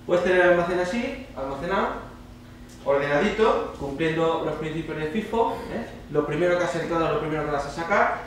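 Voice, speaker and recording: Male conference speaker, Spain accent, mid pitch, chatty and emphatic, punctuating points with tag questions; very roomy sound with faint noise. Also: animated, a little fast, far from the mic. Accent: Spanish (Spain). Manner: colloquial, emphatic, often checks with audience using tag questions. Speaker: speaker at a student congress. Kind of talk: informal conference presentation with slides. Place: indoor lecture hall, speaker standing on stage.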